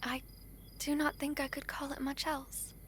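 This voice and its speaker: Woman as a high-voiced, gentle young princess. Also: flat delivery, slight background noise. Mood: earnest, composed. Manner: formal, soft-spoken, hesitant pauses. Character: gentle, earnest young foreign princess in love. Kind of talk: romantic audio roleplay acting.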